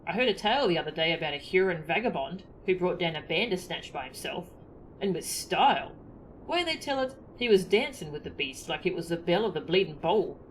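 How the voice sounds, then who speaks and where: A woman's voice: lowish voice, animated, a little fast, slight background noise, lightly acted, rough recording. A woman voicing a chatty fantasy game villager, musing and colloquial.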